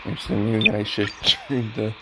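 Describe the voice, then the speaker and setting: A man giving casual, halting commentary as he plays a video game. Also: fairly low pitch, level tone, noisy background. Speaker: gaming streamer. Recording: loud game music behind. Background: video game music and dialogue.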